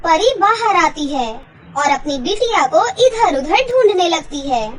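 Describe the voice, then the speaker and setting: A woman's voice: very high-pitched, animated, a little slow. A woman narrating a scripted children's story as the storyteller.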